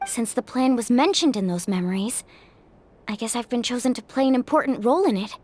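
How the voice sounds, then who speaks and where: A woman's voice: on the high side, fairly quick, a little mellow, a little hiss or hum, close to an everyday voice. A woman playing an earnest, thoughtful young hero in a video game, reflective and a little hesitant. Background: game music.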